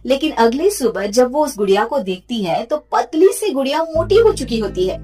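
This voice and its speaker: A woman's voice: a little high, very animated, moderate pace, mellow, slightly rough, faint music behind. A woman giving scripted narration for a cartoon moral story.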